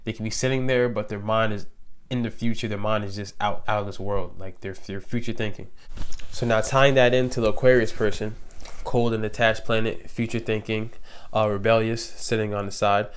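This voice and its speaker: A man's voice: on the low side, steady tone, fairly quick, smooth. A young man with a cold, sniffling, explaining astrology in loose, slangy casual talk with lots of fillers. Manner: laid-back, slangy and loose, many fillers. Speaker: astrology YouTuber.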